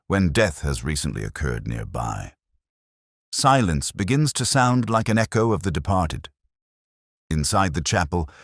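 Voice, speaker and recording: A man voicing a melancholy older retired police inspector, narrating a literary detective drama in a measured, wistful, erudite way with wry touches. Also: low-pitched, dry recording, clean studio sound, full character voice. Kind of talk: literary first-person detective drama monologue.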